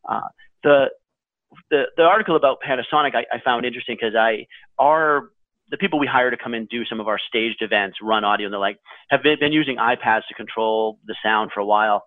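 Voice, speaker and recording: Loud-voiced man on a tinny line. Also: medium pitch, no room sound. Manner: loud, carrying voice; rambling with restarts. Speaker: podcast co-host. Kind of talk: casual chat.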